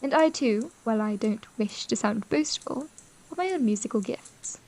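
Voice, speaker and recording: Woman acting as high-voiced, wistful young lady. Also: moderate pace, poor audio. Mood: wistful, proud. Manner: formal, expressive period speech. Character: wistful, overlooked young sister, polite and quietly yearning. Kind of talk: audio drama acting, period romance. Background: music.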